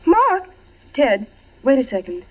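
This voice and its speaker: A woman's voice: high voice, very animated, takes it slowly, heavily acted. A genteel wife in a vintage radio mystery. She speaks with poised, guarded theatricality, and the sound is dull and old. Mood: puzzled, guarded. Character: poised young suburban wife, smooth and guarded. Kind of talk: 1940s radio acting. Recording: muffled 1940s radio recording.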